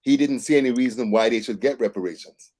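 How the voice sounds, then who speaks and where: A man's voice: mid-range voice, fast talker, a little mellow. A middle-aged man co-hosting a casual morning talk show, making earnest, emphatic points. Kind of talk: casual panel discussion.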